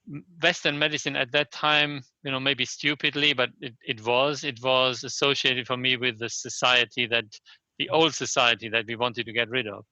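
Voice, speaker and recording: An older German-accented man gives thoughtful interview answers in a very bright, forceful voice. He pieces sentences together haltingly and keeps tagging them with a questioning yes. The sound is close and dry but somewhat lo-fi. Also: medium pitch, no room sound. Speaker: interview guest, Chinese medicine practitioner and scholar. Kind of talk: reflective conversational interview answers.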